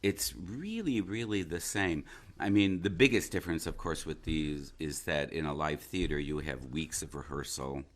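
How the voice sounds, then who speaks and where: Male interview guest, extremely deep voice. Stage actor reflecting on his craft, pausing to find words. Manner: thoughtful, halting, searching for words.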